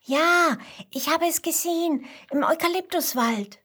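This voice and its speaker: A woman voicing a stubborn, eager little koala boy in a children's story, speaking in an emphatic, excitable, childlike way. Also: high voice, slow, voice pushed up, exaggerated voice. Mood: excited, proud.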